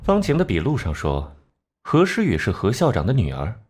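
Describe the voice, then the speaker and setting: A man playing a sharp, cocky detective in an animated drama, smugly laying out deductions with theatrical rhetorical questions. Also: fairly low pitch, normal speed, breathy edge, full character voice. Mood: incisive.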